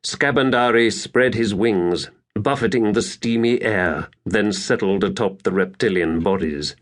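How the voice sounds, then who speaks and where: A man narrating an epic fantasy audiobook in a measured, theatrical, scripted style. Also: fairly low pitch.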